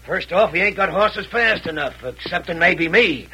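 High-pitched blustering cowboy. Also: male voice, animated, normal speed, sharp tone, husky, exaggerated voice. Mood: grumbling, cautious. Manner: folksy drawling western slang. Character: excitable blustering cowboy posse rider, adult man. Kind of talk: 1940s western radio drama acting. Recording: noisy old radio recording.

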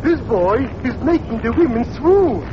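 An actor playing a self-assured foreign talent agent in a 1940s radio comedy, speaking broken English in grand, formal phrases. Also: male voice, very high voice, sing-song, a little slow, full character voice. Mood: smug. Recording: dull old radio broadcast with hiss.

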